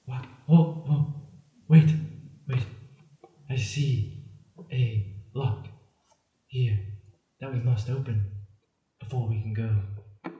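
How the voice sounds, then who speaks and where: A man's voice: medium pitch, mellow, muffled recording, close to an everyday voice. Man playing a stammering, anxious young son, roomy echo. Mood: dramatic, wary. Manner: halting, stammering, dramatic. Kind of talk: improvised radio play acting. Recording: roomy, echoing live room.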